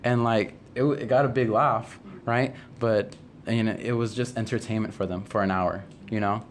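A man's voice: fairly low pitch, steady tone, normal speed. Teenage male, level intonation, conversational explainer with frequent check-ins, background noise. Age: teens. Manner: checks in often with "right?" and "you know?". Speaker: high school theater student, podcast guest. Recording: clear background noise, unpolished room recording.

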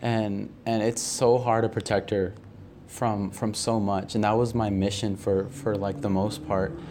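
A young man in a reflective group conversation, speaking earnestly and haltingly, often repeating phrases as he works out his thoughts. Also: lowish voice, flat delivery, darkish tone. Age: young adult. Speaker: podcast guest, play cast member. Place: indoor room, group podcast recording. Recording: faint noise, room ambience.

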